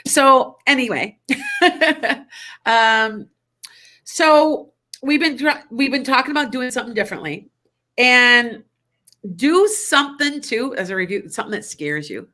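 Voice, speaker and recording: A woman's voice: a little high, animated, measured pace, bright, forceful tone. A woman in her fifties or older, hosting a psychic talk show, chatty and rambling, with a stuffy nose. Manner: chatty, rambling, laughs often. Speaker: psychic radio show host. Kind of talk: casual spiritual talk.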